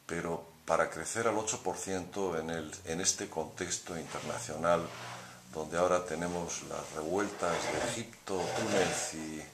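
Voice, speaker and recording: A man with a very deep voice conducts an interview, asking probing questions in a conversational way and often checking for agreement. The room is echoey, and there is a faint hiss in the background. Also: a little slow. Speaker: interviewer, host.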